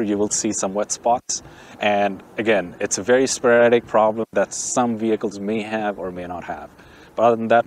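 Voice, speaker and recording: A man's voice: fairly low pitch, a little mellow. A man from Bangladesh hosting a casual, joking car review vlog outdoors, with wind around him. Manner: chatty, joking, opinionated. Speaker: car channel host. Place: outdoors by a vehicle, windy. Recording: faint wind and outdoor noise. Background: wind and outdoor noise.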